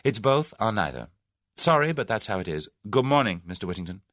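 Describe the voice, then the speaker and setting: A man reading the part of a spirited, wary young woman in an audiobook, brisk and wry, raising his voice for her. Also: lowish voice, big pitch swings, fairly bright tone, dry recording, studio quality, voice pushed up. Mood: wary, pert. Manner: brisk, wry, lightly raised voice.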